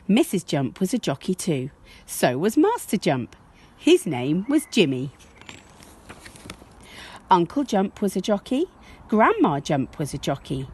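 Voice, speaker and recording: A woman reading a children's story aloud like a teacher, expressive and measured in her storytelling. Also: lowish voice, slightly rough.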